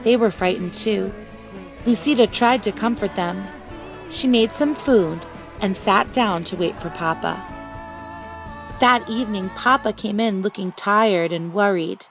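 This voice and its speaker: A woman reading a children's storybook aloud as a teacher, with expressive, deliberate phrasing. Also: mid-range voice, music in the background, rough recording.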